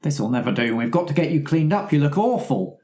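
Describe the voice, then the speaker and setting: A man's voice: on the high side, lively intonation, brisk, a little mellow. A man taking part in casual improvised roleplay as a player at a tabletop game.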